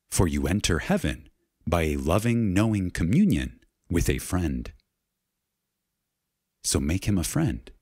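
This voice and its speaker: A man reading a spiritual lecture aloud in a reverent, dramatic way, solemn and emphatic, with deliberate pauses. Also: low-pitched, a little mellow, husky, no room sound, studio quality.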